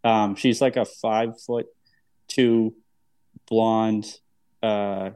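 A man's voice: on the low side, level tone, drawn-out words, a little mellow, clean tone, no echo. A man in his thirties hosting a podcast in a casual, chatty style. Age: 30s. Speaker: podcast host.

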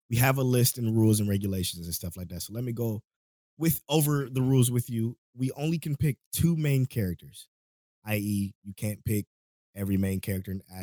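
Male podcast host, lowish voice, relaxed and rambling, slightly worn from a cold, dry close sound. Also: no room sound. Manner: laid-back, rambling, laughs often. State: voice going, catching a cold. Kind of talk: casual podcast chat.

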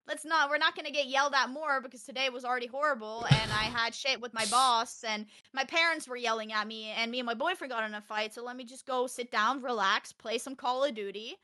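A young woman from Texas chatting on a podcast, loud and animated, telling stories fast with a close mic. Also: on the high side, no echo. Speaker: podcast guest, streamer. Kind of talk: casual podcast chat.

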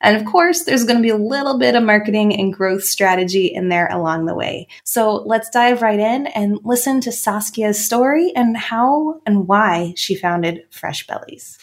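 Middle-aged woman host, super enthusiastic, gushes over her guest, mid pitch. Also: animated, moderate pace, hardly any pauses. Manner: enthusiastic, effusive. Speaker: podcast host. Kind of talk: interview conversation.

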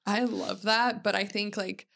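Female, mid-range voice, informal podcast chatter, animated storyteller who acts out quotes. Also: mid-range voice, measured pace, vocal fry. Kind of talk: casual chat.

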